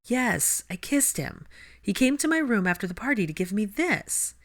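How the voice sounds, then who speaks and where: A woman voicing a flustered, defensive teenage witch with a high, breathless delivery. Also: sing-song, moderate pace.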